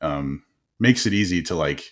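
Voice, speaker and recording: A young man hosting a casual interview podcast, talking in a rambling, animated way and laughing often. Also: sits low, normal speed. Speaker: podcast host.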